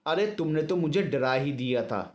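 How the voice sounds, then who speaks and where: A man's voice: on the high side, moderate pace, a little mellow, close to an everyday voice. A man voicing an elderly husband who is anxious, tender and a little hesitant, in an audio story. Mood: worried. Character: worried, affectionate elderly husband.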